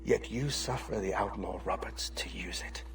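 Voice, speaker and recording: An actor playing a scornful, self-righteous gentleman villain in a video game cutscene. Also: deep voice, normal speed, creaky, recorded from a distance, background noise. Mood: righteous, strained.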